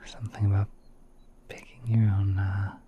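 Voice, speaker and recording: A man playing a gentle, courteous spider prince in a whispered ASMR roleplay, speaking softly and politely in an unhurried, musing way. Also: sits low, little pitch movement, muffled recording, a little hiss or hum, natural voice. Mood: soothing, hospitable.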